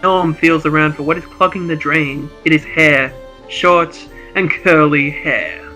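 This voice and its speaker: A man's voice: fairly high pitch, measured pace, bright, forceful tone, soft background music. A jovial, chuckling man, switching between casual chat and reading out script narration. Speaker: co-host and script reader.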